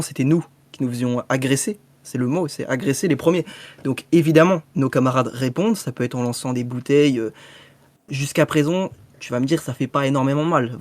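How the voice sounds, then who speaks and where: Man, protester in a debate, mid pitch, earnest and pushy, dry sound. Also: darkish tone, no echo. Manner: earnest, argumentative, pressing his point.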